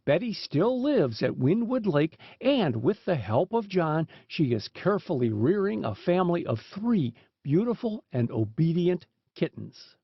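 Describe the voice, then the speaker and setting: Man, high voice, scripted audiobook storytelling, very lively intonation, dry but dull lo-fi recording. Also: a little slow, few pauses, husky, no echo. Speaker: audiobook narrator. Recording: dull, lo-fi sound.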